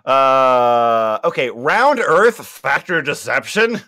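A man's voice: medium pitch, lively intonation, slow, sharp tone. A man bantering casually as a panelist on a live comedy podcast.

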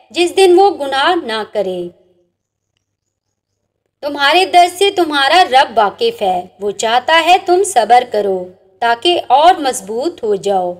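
A woman reading inspirational religious sayings aloud in a measured recitation, with each saying ending on a falling, softer note. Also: high-pitched. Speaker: narrator.

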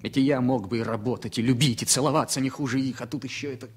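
An actor playing an anxious, self-pitying airline passenger in a radio play, talking in halting phrases. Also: male voice, mid-range voice, fairly even intonation, lightly acted. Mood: brooding, anxious. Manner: halting, brooding. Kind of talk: radio play inner monologue. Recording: music bed behind 1970 radio play.

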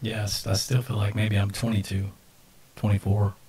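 A man's voice: lowish voice, little pitch movement, moderate pace, dark tone, distant mic. A man in his early forties chatting casually and off the cuff as co-host of a live stream at a desk microphone.

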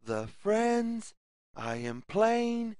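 A children's storyteller reads aloud extremely slowly, setting each phrase apart. The pitch swings widely in a sing-song pattern. The sound is dry and close, suited to a simple picture book for young listeners. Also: very animated, darkish tone, clean tone, no echo. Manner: deliberate, word-by-word delivery with sing-song questions.

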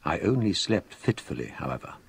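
An actor playing an older, scholarly English gentleman who narrates a ghost story in a measured, formal, literary manner for a radio drama. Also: low voice, sing-song, vocal fry, a little hiss or hum, exaggerated voice. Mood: grave, reflective.

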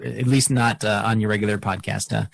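A man's voice: on the low side, fairly even intonation, brisk, gravelly. A man interviewing casually as a podcast host, hesitating as he searches for words.

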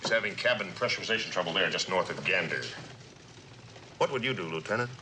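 Unflappable air force commander, low animated voice, noisy old soundtrack. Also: male voice. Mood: calm authority. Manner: brisk, authoritative. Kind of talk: 1960s television drama acting.

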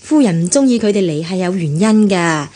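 A woman's voice: on the low side, animated, brisk, dark tone. An actress playing a chatty female servant gossiping about her mistress in a radio drama, with a Hong Kong Cantonese accent. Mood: gossipy. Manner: gossipy, explanatory. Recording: husky-sounding radio rebroadcast, faint music.

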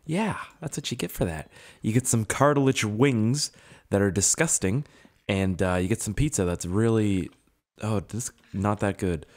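Young male gaming host, voice hoarse and a bit low, rambling and swearing, self-deprecating. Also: normal speed. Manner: rambling, profane, self-mocking. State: hoarse, strained voice. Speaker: gaming YouTuber. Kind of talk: casual let's-play commentary.

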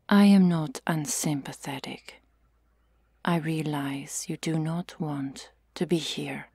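A woman playing a stoic, stern Amazon warrior in an ASMR roleplay, hushed and curt, often whispering. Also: low voice, steady tone, extremely slow, pauses often, breathy edge, no room sound, clean studio sound, exaggerated voice. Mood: stern, guarded. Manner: curt, formal, restrained.

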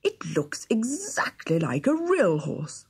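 A woman's voice: mid-range voice, wildly swooping pitch, takes it slowly, husky, full character voice. A woman voicing an earnest, easily flustered king of fifty in a children's storybook. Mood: admiring. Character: kindly fifty-year-old Persian king, easily flustered. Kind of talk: children's storybook character reading.

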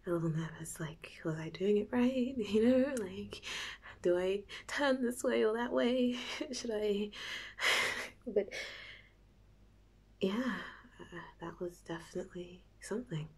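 A woman playing a nervous, giddy young woman in an ASMR roleplay, stammering softly and often whispering in a halting, giggly way. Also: mid-range voice. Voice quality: soft, breathy, often whispered. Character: nervous, giddy young woman before and after first kiss.

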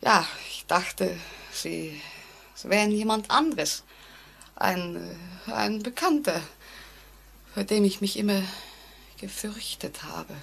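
A woman's voice: medium pitch, slow, gravelly, fairly bright tone, husky, full character voice. An actress playing a nervous, frightened woman in a 1940s-style radio play, speaking in a hesitant, anxiously polite way. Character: nervous, increasingly frightened woman trapped in an elevator. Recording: noisy vintage radio drama recording.

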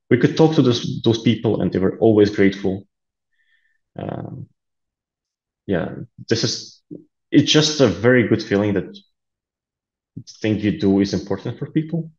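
A man's voice: sits low, a little mellow, highs cut off. A young man with a Latvian Russian accent, speaking earnestly on a video call interview, with many ums and uhs. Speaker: interview guest, aid volunteer. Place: small room indoors. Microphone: laptop camera video call.

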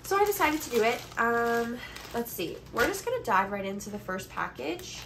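A woman's voice: a little high, sing-song, moderate pace. A woman in her twenties with a congested voice, chatting casually and informally as a vlogger filming on a phone in a bedroom. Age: 20s. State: congested from allergies. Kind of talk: casual haul chat. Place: bedroom, indoors. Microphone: phone camera at about arm's length or further. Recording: noticeable background noise, phone recording.

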